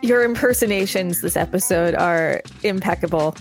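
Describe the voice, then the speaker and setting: A woman's voice: on the low side, normal speed, faint music behind. A woman co-hosting a podcast, moving between reading an article and casual chat. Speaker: podcast co-host.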